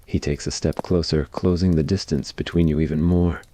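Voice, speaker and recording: A man's voice: extremely low pitch, steady tone, moderate pace, dark tone. A man reading scripted story narration in an ASMR roleplay style.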